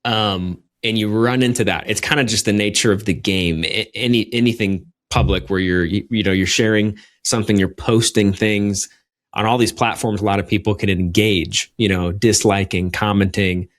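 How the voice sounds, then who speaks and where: A man's voice: lowish voice, fairly even intonation, normal speed. A man hosting an interview as a coach, encouraging and affirming his guest. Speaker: host and coach. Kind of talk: conversational interview.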